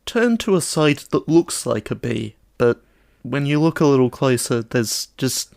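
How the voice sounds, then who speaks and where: A man's voice: medium pitch, lively intonation, unhurried, gravelly, darkish tone, dry recording. Understated, droll man in dry sound. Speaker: podcast co-host reviewing films. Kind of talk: casual chat.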